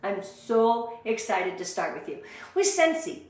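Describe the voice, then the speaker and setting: A middle-aged American woman speaking with gushing, high energy, greeting viewers on a live online motivational training. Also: very animated, a little slow. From Southern California, USA. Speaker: sales director leading a motivational training. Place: indoor room, live stream with ring light. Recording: very echoey, roomy sound.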